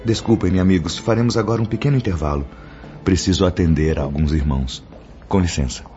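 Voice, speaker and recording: An actor playing a calm, authoritative spirit mentor in a radio drama, speaking in a formal, didactic way. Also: male voice, sits low, brisk, close to an everyday voice. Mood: courteous. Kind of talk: radio drama acting, formal instructive speech. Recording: dull lo-fi audio. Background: background music.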